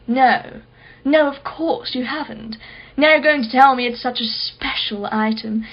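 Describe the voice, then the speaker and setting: A woman's voice: sits high, measured pace, dull audio. An actress playing a wealthy, condescending and sardonic female art collector in a radio play. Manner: condescending, mocking, self-satisfied.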